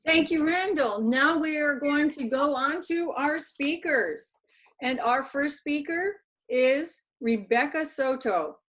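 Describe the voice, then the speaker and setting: A woman's voice: high voice, animated, takes it slowly, a bit bright. A woman chairing a club meeting over a video call, upbeat and courteous.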